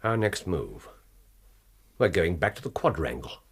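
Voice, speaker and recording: A man playing a shrewd, commanding private detective in a radio drama, questioning incisively in a husky English accent. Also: low-pitched, sing-song, a little slow, heavily acted. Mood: decisive.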